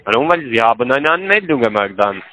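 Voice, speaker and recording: Bright-voiced male scam caller. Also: mid-range voice, big pitch swings, quick pace, soft background music, rough recording. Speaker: scam call-center caller.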